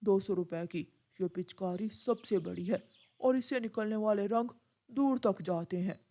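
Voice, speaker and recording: Woman playing a low-voiced cartoon pigeon shopkeeper uncle. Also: measured pace, mellow, lightly acted. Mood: informative, calm.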